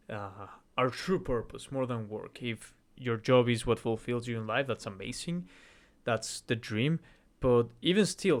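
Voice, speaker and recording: Male host, Mexican accent, mid-pitched, earnest reflective chat with self-mocking asides. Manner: stops to correct his own wording. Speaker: channel host. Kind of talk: conversational video essay.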